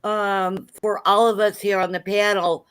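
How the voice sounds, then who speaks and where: A woman's voice: medium pitch, level tone, a little slow, fairly bright tone, clean tone, low-quality recording. A woman in her sixties, a peer wellness specialist, talking earnestly on a stroke panel with frequent ums. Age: 60s. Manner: earnest, many ums and restarts. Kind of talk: casual panel chat.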